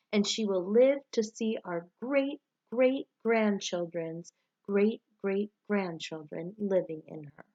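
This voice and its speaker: A woman teacher reading a storybook aloud to pupils and giving them instructions in a clear way suited to children. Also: mid-range voice, lo-fi sound.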